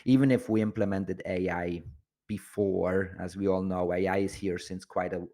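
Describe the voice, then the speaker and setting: A man's voice: low-pitched, fairly even intonation, moderate pace, mellow. A man from Switzerland, chatting casually as an interview guest. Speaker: podcast guest, agency entrepreneur.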